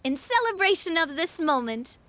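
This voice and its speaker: Cheery genteel young boss lady in a game, high bright voice. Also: normal speed, a little hiss or hum, exaggerated voice. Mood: upbeat. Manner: warm, genteel, expressive. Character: gracious, cheerful young woman boss of an organization. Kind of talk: video game character acting.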